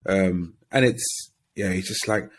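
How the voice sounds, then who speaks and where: Male co-host, husky, measured, tends to repeat himself. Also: sits low. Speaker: podcast co-host. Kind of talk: casual chat.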